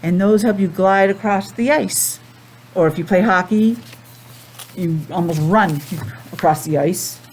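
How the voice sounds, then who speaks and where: A woman's voice: medium pitch, measured pace, a little mellow, a little hiss or hum, poor audio. Woman teacher reading aloud to young children. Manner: patient, asks questions and explains simply. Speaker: preschool teacher. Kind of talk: children's read-aloud with explanations.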